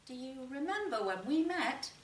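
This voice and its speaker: A woman's voice: high-pitched, very animated, normal speed, voice pushed up. A woman voicing a guarded, wistful middle-aged wife in a short story, speaking plainly and reflectively. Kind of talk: storybook character dialogue. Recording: roomy, distant mic, faint noise.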